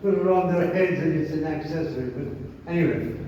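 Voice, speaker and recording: Older irish priest telling stories to a crowd, bit high voice, dull muffled sound, lots of hall echo. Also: male voice, quick pace, a little hiss or hum. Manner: conversational, storytelling with asides and quotes. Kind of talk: informal talk to a group. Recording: echoey hall, distant mic, dull sound.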